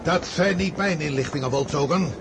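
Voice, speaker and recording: Imperious army commander over loud battlefield noise, acted by a man. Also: on the high side, natural voice. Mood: indignant. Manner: haughty, deliberate, declamatory. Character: imperious, stubborn Russian commander-in-chief, indignant and proud. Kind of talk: radio drama acting. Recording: heavy outdoor battlefield noise behind.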